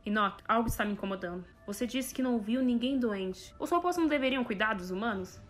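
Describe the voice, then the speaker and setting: A woman's voice: a little high, expressive, quick pace, music in the background, lightly acted. A woman playing a skeptical, intense scientist in an audio drama, questioning insistently and earnestly. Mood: suspicious, probing. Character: skeptical, probing female scientist, troubled and intense.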